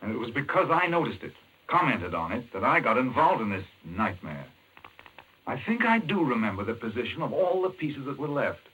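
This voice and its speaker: An actor playing an intense, urgent doctor in a 1940s American radio mystery, explaining things emphatically. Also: male voice, mid-range voice, very animated, fairly quick, bright, forceful tone, slightly rough, full character voice. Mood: tense, thoughtful. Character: intense, obsessed male chess-playing doctor explaining a madman's scheme. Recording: muffled 1940s radio recording.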